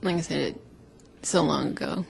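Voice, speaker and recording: American woman in her forties, creaky voice. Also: low-pitched, measured pace, noisy background. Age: 40s. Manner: playful, repeats phrases for emphasis. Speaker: radio talk show host. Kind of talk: casual chat. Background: music.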